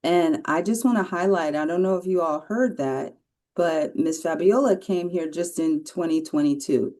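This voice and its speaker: A woman's voice: lowish voice, normal speed, mellow. A woman moderating an online panel, thanking and praising each speaker in an encouraging way. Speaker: webinar moderator.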